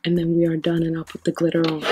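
A middle-aged woman from Nigeria with a British accent and a hoarse voice, chatting casually through a makeup tutorial in her sitting room. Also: sits low, completely flat delivery, fast, dark tone, faint music behind. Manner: chatty, breezy, thinking aloud while working. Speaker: makeup vlogger. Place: sitting room in front of a sequin backdrop.

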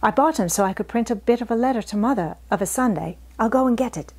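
A woman's voice: on the high side, fast, darkish tone, slightly rough. Storybook role: woman as good-hearted, talkative young maid, folksy country dialect. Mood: helpful. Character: chatty, good-hearted young Yorkshire housemaid.

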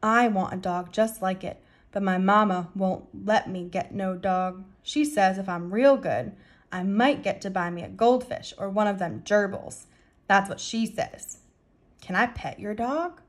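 A woman's voice: mid-range voice, unhurried, close to an everyday voice. A woman voicing a solemn, chatty five-year-old girl, childlike and serious, in a storybook. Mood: wide-eyed, earnest.

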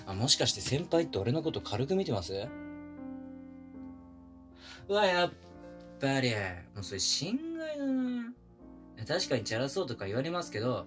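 A man's voice: mid-range voice, close to an everyday voice. A man playing a pushy, flirtatious younger coworker with puppyish eagerness, speaking casually and intimately to the listener in a romantic audio drama. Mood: earnest, coaxing. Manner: casual junior-to-senior speech, teasing and coaxing. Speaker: voice actor in a romantic situation voice drama. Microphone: close binaural studio microphone.

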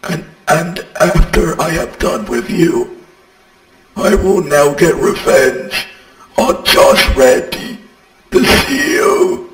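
Man voicing a slow, echoing vengeful animatronic monster. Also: a little high, a bit bright. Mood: cold, accusing. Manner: slow, menacing, drawn-out delivery. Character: vengeful haunted animatronic creature, menacing and bitter. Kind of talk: horror game character voice lines. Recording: echoey long reverb, distant, music behind.

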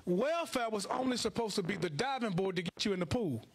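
A man's voice: high-pitched, expressive, fairly quick. A middle-aged American man preaching a sermon, emphatic and colloquial, repeating phrases, speaking into a handheld microphone in a church. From Chicago and Houston, United States. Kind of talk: sermon to a congregation. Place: indoor church stage at a lectern.

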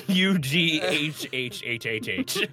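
A man's voice: fairly high pitch, wildly swooping pitch, very slow. A man chatting casually and jokingly as a player in a word game. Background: music.

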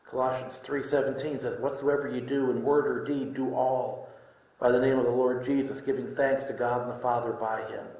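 A middle-aged man preaching a sermon in deliberate short phrases with pauses, reading scripture from a lectern. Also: medium pitch, level tone, darkish tone. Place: indoor room, speaking from a lectern. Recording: echoey room.

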